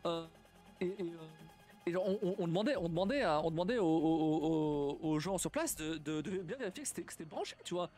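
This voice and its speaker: A young man streaming casually and reacting to stories, talking in a halting way, repeating words and laughing often. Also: fairly high pitch, expressive, darkish tone, faint music behind.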